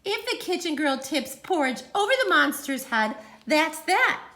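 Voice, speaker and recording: A woman reading a picture book aloud like a teacher to children, theatrical and emphatic, with dramatic pauses and sudden swells. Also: high-pitched, big pitch swings, bright, forceful tone.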